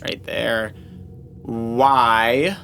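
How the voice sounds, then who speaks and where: A woman's voice: extremely low pitch, fairly even intonation, very slow, a bit bright, slight background noise. A woman chatting casually and instructively during gameplay, patiently coaching and spelling things out. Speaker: adult helper in a child's Let's Play.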